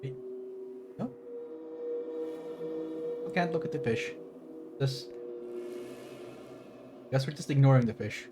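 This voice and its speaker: A man's voice: medium pitch, slow pace, long pauses, over loud music. A man streaming a game, chatting casually and rambling, easily surprised by what he sees. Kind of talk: casual live commentary.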